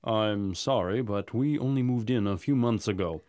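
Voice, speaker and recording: A man playing a polite, helpful gentleman in an animated children's story, measured and apologetic. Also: on the low side, no echo, lightly acted.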